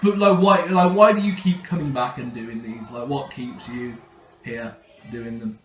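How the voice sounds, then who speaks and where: A man's voice: medium pitch, measured pace, dull audio. Casual male interviewer in an echoey room. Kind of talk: casual, hesitant questioning. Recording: echoey room with faint noise.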